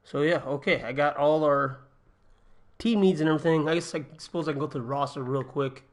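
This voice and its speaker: A young man with a slightly raspy voice from a cold, chatting casually through a gaming livestream from his apartment, thinking aloud with ums and uhs. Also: fairly high pitch, a little fast. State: getting over a cold, a little raspy. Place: home apartment.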